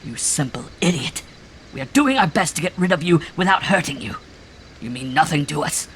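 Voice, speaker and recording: A man voicing a heavy middle-aged farmer in a cult, a fanatic who shouts and rants in a strained, raucous voice. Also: mid-range voice, normal speed, gravelly, slight background noise, lightly acted. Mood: raging. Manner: shouting fanatic, later sly and cold. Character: raving heavy male farmer cult follower.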